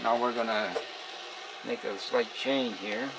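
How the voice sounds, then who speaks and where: A man giving an informal, hands-on demonstration in a halting, explanatory way, as the presenter. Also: on the low side, steady tone, creaky. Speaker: presenter demonstrating a vintage radio. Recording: faint background hiss and room noise.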